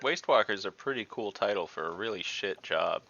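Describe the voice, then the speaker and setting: A man's voice: on the low side, animated, fairly quick, vocal fry, pushed and bright. A man playing a tabletop game, making dry jokes and wry comments. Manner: joking, wry asides. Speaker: player. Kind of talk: casual tabletop chat. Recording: slightly dull lo-fi online audio.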